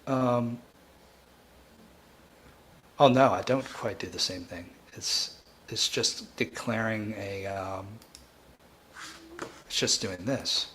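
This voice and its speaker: A man hosting a live coding tutorial, thinking aloud in a halting, informal way and often restarting his sentences. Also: lowish voice, a bit bright, slight background noise. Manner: halting, self-interrupting, informal. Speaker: livestream host, programming instructor.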